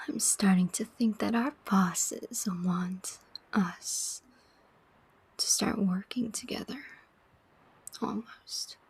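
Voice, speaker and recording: A sardonic female demon played by a woman speaks in a breathy whisper, drawing each phrase out very slowly. She is a world-weary collector of souls in an ASMR roleplay, teasing and deliberate, pausing often. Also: mid-range voice, a little mellow, full character voice. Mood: teasing, wry.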